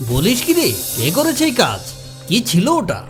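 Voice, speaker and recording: A woman's voice: a little high, wildly swooping pitch, unhurried, over music. A woman voicing a big, bossy monster in a children's cartoon, scolding and teasing yet protective. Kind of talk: children's cartoon character dialogue.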